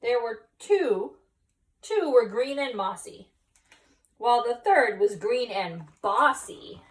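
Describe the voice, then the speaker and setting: A woman reading a picture book aloud expressively, pausing to explain and ask children questions. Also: a little high, far from the mic, low-quality recording. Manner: animated, pauses to explain and question. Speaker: teacher reading at school story time. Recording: voice some way from the mic.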